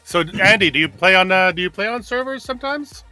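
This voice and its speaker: Male gamer, bright and forward voice, on the high side, chatty. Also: expressive, dry recording, soft background music. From Canada. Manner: asks questions, quick to laugh. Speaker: co-player on stream. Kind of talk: casual voice chat.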